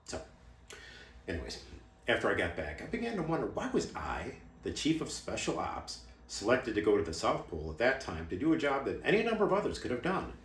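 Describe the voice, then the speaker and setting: A man performing a dramatic monologue as a guarded, cynical ex-military intelligence insider, confiding secrets in measured, emphatic, clipped phrases. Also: medium pitch, wildly swooping pitch, fairly bright tone, a little hiss or hum. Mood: probing, grave. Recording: roomy, echoing room sound.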